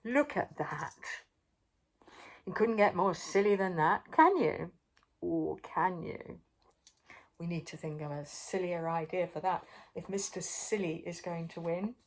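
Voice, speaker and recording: An older woman reading a picture book aloud to young children in a playful, expressive way, with chatty asides to the listener. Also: fairly low pitch, a little slow. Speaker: storyteller.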